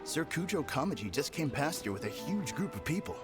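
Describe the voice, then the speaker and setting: A man's voice: on the high side, very animated, brisk, over music. An actor playing a mildly concerned, gossiping townsman in a video game. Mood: concerned, curious.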